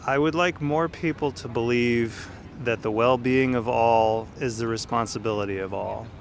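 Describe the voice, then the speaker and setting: A man who works as a technical writer, answering questions outdoors in plain, measured words. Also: medium pitch, slight background noise. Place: outdoors in a city.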